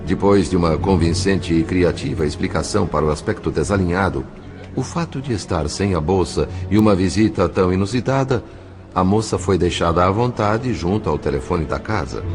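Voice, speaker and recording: A Brazilian man playing a grave spirit narrator in an audio novel, telling a tragic story in a solemn, literary, measured way. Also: deep voice. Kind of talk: dramatised scripted narration.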